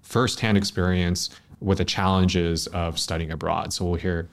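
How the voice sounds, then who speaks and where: Low-voiced male interview host. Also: little pitch movement, normal speed. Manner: easygoing, frequent "uh" fillers while framing questions. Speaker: podcast host. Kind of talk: conversational interview hosting. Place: studio.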